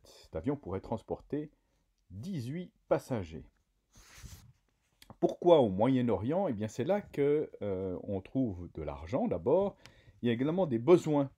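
A man reading a scripted news voice-over in a measured way. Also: medium pitch, dark tone, slightly rough. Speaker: narrator.

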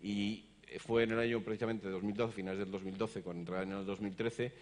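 Deep-voiced middle-aged man moderating a panel. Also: fairly even intonation, fairly quick, a little mellow. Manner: hesitant, many repeated words and fillers. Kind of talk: explanatory panel talk. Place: conference stage, seated in an armchair.

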